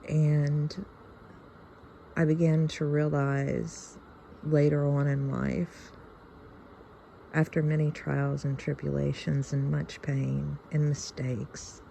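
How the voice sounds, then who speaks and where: A woman in her fifties with a Southern US accent, chatting reflectively and haltingly to her viewers. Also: low voice, flat delivery, vocal fry, mellow, slight background noise. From Louisiana, United States. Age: fifty-one. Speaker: video channel host. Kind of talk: casual reflective chat to viewers.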